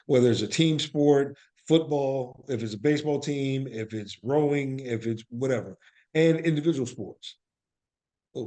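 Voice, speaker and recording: An American man speaking as an interview guest, telling stories in an animated, emphatic conversational way and often checking that the listener follows. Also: mid-range voice. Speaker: guest, actor and playwright.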